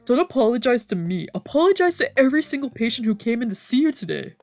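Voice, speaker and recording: A woman voicing an emotional, exasperated young man from a visual novel, scolding and pleading in an animated, emphatic way. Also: on the high side, fast talker, no echo, soft background music, pitched above the performer's own voice. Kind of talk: expressive read-aloud dub of a visual novel.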